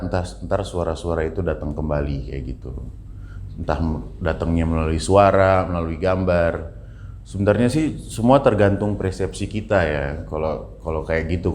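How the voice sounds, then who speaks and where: Male, 29, deep register, informal interview guest who repeats phrases as he explains; slightly noisy room. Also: a little mellow. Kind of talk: casual personal account.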